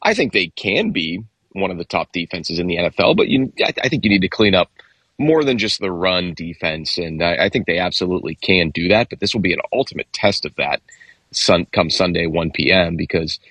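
A man analyzing football on a sports talk show, measured and thinking aloud as he weighs each point. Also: deep voice, expressive, fairly bright tone, slightly rough, dry recording.

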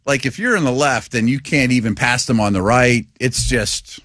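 A man's voice: mid-range voice, normal speed, no room sound. A man co-hosting a sports radio show, telling stories casually and with animation. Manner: animated storyteller. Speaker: radio co-host.

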